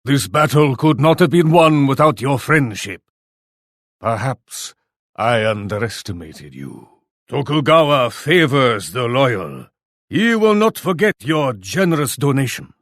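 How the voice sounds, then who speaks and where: An actor playing a proud, commanding Japanese warlord in a video game, speaking in a haughty, formal, declarative way. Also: male voice, medium pitch, big pitch swings, measured pace, no echo, clean studio sound. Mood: gracious, grateful. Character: proud, imperious Japanese warlord who speaks of himself grandly. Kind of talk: dramatic video game voice acting.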